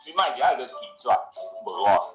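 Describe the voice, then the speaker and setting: A male actor plays a brash young suitor in a Taiwanese radio play, boastful and stubbornly wheedling. His Taiwanese-accented delivery swings widely in pitch and stretches into chanting. Music plays behind the roomy recording. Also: lively intonation, a little fast, close to an everyday voice. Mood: coaxing. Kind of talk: Taiwanese radio drama acting.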